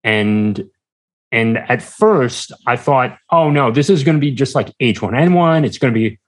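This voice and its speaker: A man speaking as a guest in a casual interview, hesitant and halting as he searches for his words. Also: mid-range voice, expressive. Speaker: podcast guest, entrepreneur.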